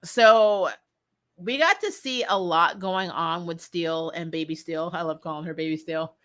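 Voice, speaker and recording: A woman giving casual, opinionated commentary as a show host, chatty and animated, with blunt, slangy phrasing. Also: fairly low pitch, unhurried.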